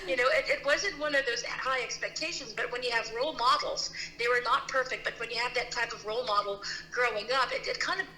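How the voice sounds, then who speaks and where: A woman's voice: on the high side, quick pace, hardly any pauses, pushed and bright. A middle-aged woman from the Philippines, a guest telling her personal story in an earnest, casual way with many restarts. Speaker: guest, CEO and founder. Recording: roomy with faint noise.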